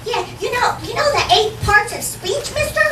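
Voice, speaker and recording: Squeaky, pesky little girl. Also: animated, heavily acted. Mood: smug. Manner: slow, forgetful, singsong childish prattle. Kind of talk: 1940s radio comedy acting, live stage revival. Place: theater stage before live audience. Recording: roomy distant stage pickup, loud noise.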